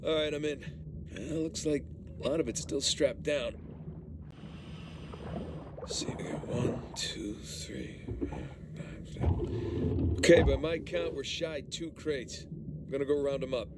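Loud underwater ambience surrounds a male actor as an easygoing, determined treasure hunter. He talks casually, half to himself, in a mid-pitched voice, in naturalistic video game style. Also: a little slow, pauses often, heavy background noise, close to an everyday voice. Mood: focused.

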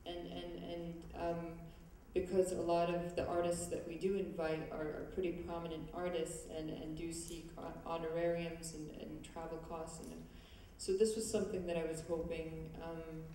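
A woman's voice: fairly low pitch, completely flat delivery, moderate pace, warm tone, far from the mic, slight background noise. A young woman giving prepared remarks at a student event, speaking hesitantly with many fillers and restarts into a handheld mic on a large stage. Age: young adult. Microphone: handheld mic, far camera. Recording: echoey hall recorded from afar.

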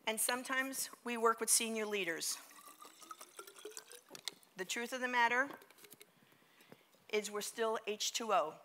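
A high, bright woman's voice with a New York accent, speaking on a large theater stage. She gives a motivational keynote with plenty of energy and pulls the crowd into call-and-response chants. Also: unhurried, pauses often, a little hiss or hum.

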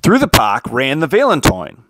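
A storyteller reading a children's picture book aloud in an expressive, dramatic way. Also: medium pitch, normal speed, no echo. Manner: animated, dramatic.

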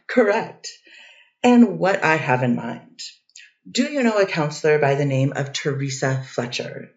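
A woman's voice: sits low, lively intonation, unhurried. A woman reading the part of a defense attorney in a courtroom transcript reading, asking methodical cross-examination questions. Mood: steady. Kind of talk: readers theater courtroom reading. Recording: dull distant echoey recording.

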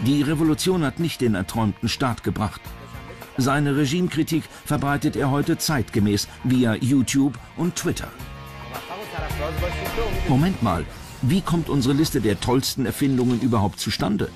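A man's voice: mid-range voice, animated, normal speed. A man with a standard German accent reading scripted documentary narration. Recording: music bed behind narration. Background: music.

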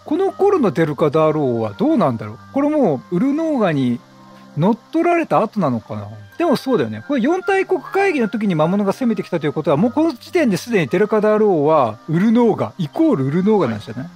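A man's voice: high voice, huge pitch swings, fast talker, darkish tone, soft background music. A man giving chatty let's-play commentary, reacting and thinking aloud. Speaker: game streamer.

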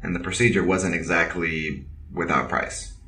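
Deep, sharp-edged cyborg officer. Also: male voice. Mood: reserved, matter-of-fact. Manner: formal, deadpan, measured. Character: reserved, polite male cyborg officer in armored suit. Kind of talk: audio drama acting.

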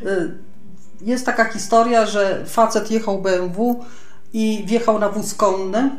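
An echoey room surrounds a woman chatting casually as a podcast co-host. Her voice sits at an average pitch, and she talks in a jokey, self-mocking way, often stopping to rephrase. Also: steady tone, measured pace. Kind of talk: casual chat. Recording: roomy, echoey sound.

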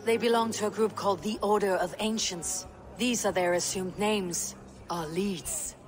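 An actress playing a loyal, blunt Viking warrior woman in a video game, measured, stern and commanding. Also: medium pitch, steady tone, natural voice. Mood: grave, resolute. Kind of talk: video game cutscene acting.

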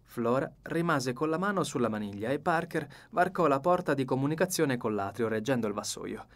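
A man's voice: mid-range voice, expressive, fairly quick. A man narrating a first-person novel as an audiobook, reading from a script.

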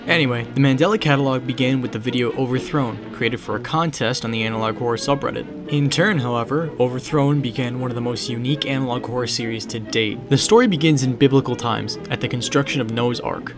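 A man from Wisconsin with an American accent, reading scripted narration for a video essay. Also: medium pitch. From Wisconsin, United States. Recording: music under the voice. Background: background music.

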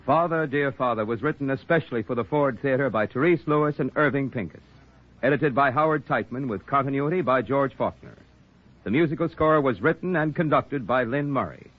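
A man's voice: mid-range voice, animated, brisk. A man announcing in a formal, scripted 1940s radio style. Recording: narrow-band old radio, faint music.

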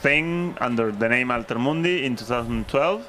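A man giving a short, measured explanation from off to the side. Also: medium pitch, pushed and bright.

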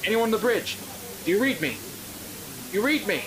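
A man acting a brisk, grim space station officer in an amateur audio drama. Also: high-pitched, animated, a crowd in the background. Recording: clearly noisy background.

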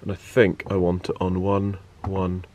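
Low-pitched young man vlogging casually. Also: monotone, unhurried, a little mellow. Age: 20s. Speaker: radio presenter vlogging. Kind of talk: casual vlog chat to camera. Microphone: handheld vlog camera. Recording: noisy handheld camera audio, background rumble.

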